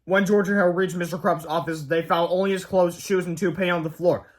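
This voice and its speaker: Male storybook reader, high voice, expressive children's read-aloud delivery with the odd stumble. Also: brisk. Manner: expressive, stumbles over some words.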